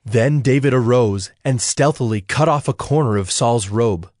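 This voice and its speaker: A man reading scripted Bible story narration in a measured, solemn manner. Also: medium pitch, darkish tone, no room sound, studio quality.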